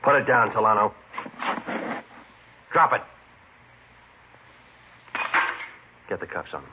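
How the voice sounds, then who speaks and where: An actor playing a terse police detective in a 1950s radio crime drama, clipped and deadpan. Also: male voice, lowish voice, moderate pace, noisy background, close to an everyday voice. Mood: firm. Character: terse, businesslike police detective who narrates his case. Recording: muffled vintage radio recording, music behind.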